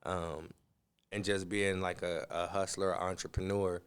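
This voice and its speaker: A man's voice: deep voice, little pitch movement, measured pace, clean tone. A man from Southern California giving casual interview answers in a laid-back, slangy way. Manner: laid-back, slangy, often says "you feel me". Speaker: interview guest, musician and entrepreneur.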